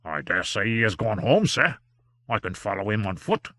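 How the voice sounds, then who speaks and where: A man voicing a calm, deferential manservant in an audiobook. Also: lowish voice, moderate pace, dry recording. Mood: helpful. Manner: plain, deferential. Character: loyal male manservant, calm and respectful.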